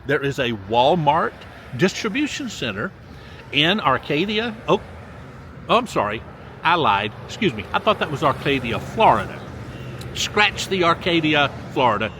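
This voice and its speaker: Older male vlogger, lively sing-song delivery, casual shout-outs outdoors with faint background noise. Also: fairly high pitch. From Mississippi. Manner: drawn-out, sing-song reading of place names with folksy asides. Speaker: vlogger reading viewer locations. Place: outdoors by a lakefront.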